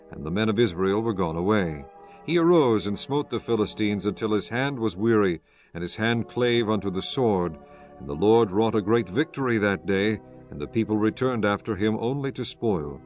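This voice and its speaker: Low-voiced male scripture narrator. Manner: measured, formal. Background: faint music.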